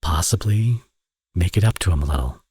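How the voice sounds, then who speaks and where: A man acts out a teasing villain turned doting father for an ASMR romance roleplay. His voice is low and husky, recorded close and dry. He sounds smug and playful, talking as if to an old flame. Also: animated, fairly quick, darkish tone, no room sound, full character voice. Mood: earnest, persuasive. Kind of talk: ASMR audio roleplay, romantic drama acting.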